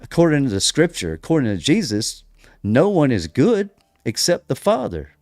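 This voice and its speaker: An American man hosting a religious podcast, delivering emphatic commentary full of rhetorical questions, pauses and restarts. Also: mid-range voice, wildly swooping pitch, a little mellow, no echo, clean studio sound. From United States. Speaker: podcast host. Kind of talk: preaching-style religious commentary.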